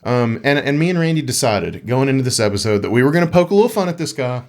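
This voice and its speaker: A man's voice: mid-range voice, big pitch swings, fast. Playful man co-hosting a casual podcast. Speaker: podcast co-host. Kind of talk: casual conversational podcast chat about gaming.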